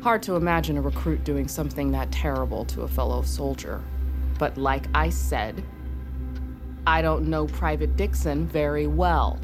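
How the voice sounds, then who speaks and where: A woman's voice: low-pitched, moderate pace, music in the background. A woman playing a stern, guarded army drill sergeant in an audio drama, curt, formal and defensive. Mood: guarded, dry. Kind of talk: naturalistic audio drama acting.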